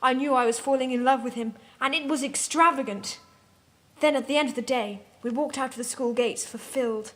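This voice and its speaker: High-voiced woman narrating with mock seriousness. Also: brisk, a bit bright. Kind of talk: comic dramatic reading of fan fiction, narration.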